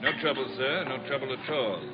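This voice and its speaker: An actor playing a stout, courteous but commanding villainous boss in a 1940s radio drama. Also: male voice, medium pitch, fairly quick, lightly acted. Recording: muffled vintage radio recording with music.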